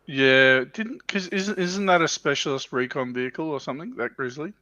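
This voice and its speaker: A man's voice: a little high, normal speed, bright, forceful tone, no room sound. A man co-hosting a casual livestream chat, tentative and thinking aloud, on a slightly dull, dry recording. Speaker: livestream co-host on tabletop wargaming show.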